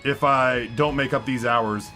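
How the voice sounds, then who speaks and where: A man in his thirties streaming and commenting on a game in a rambling, self-mocking way, his voice hoarse after hours of talking. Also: mid-range voice, steady tone, measured pace, pushed and bright, faint music behind. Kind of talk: live gameplay commentary. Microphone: headset with headphones.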